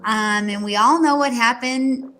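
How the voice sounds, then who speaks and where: A woman hosting a casual interview, encouraging and quick to affirm her guest. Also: on the high side, pushed and bright, soft background music. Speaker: podcast host interviewing an agent.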